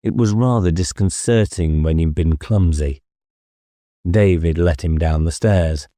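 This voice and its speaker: A man's voice: sits low, measured pace, dark tone, no echo. A man narrating a novel dramatically as an audiobook reader, close to the microphone.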